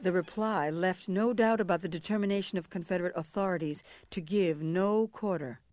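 A woman giving scripted historical narration in a measured, formal way. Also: on the low side, darkish tone, dry recording.

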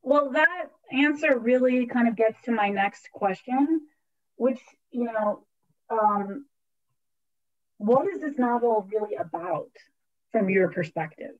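A woman's voice: a little high, expressive, slow. Hesitant woman hosting a book talk. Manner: hesitant, many fillers. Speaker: book club host. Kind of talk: online author interview. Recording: dull lo-fi audio.

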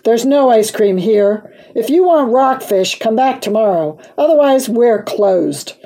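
A woman voicing a gruff, huffy fishmonger in a children's storybook, curt and dismissive. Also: on the high side, expressive, measured pace, warm tone.

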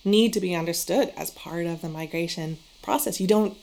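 A woman's voice: fairly low pitch, normal speed, gravelly, a little mellow. A middle-aged woman from Canada, a migration researcher talking as a guest in a thoughtful, earnest way. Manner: thoughtful, earnest, academic phrasing. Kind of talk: conversational interview.

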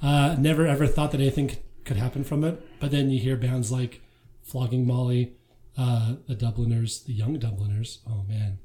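A man's voice: medium pitch, fairly even intonation, normal speed, dark tone. A man, a band founder, chatting laid-back in a podcast interview, laughing and swearing freely.

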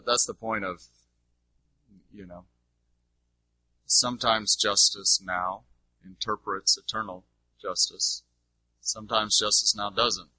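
Low, very bright-voiced man teaching informally. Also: dry recording, poor audio. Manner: informal, discursive, uses rhetorical questions. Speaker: teacher answering audience questions. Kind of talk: question-and-answer teaching talk.

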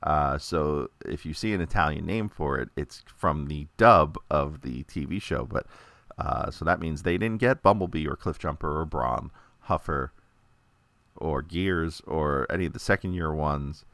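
Very deep-voiced man, chatty and dry. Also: a little slow, fairly bright tone, dry recording. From United States. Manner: chatty, many "uh" fillers, lightly joking. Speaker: toy collector presenting a video. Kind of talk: casual informational monologue.

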